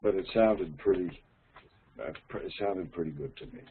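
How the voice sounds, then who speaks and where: A man's voice: low voice, moderate pace. A man teaching English in an online lesson, often repeating words as he starts a sentence. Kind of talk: casual online conversation. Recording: tinny online call audio with faint noise.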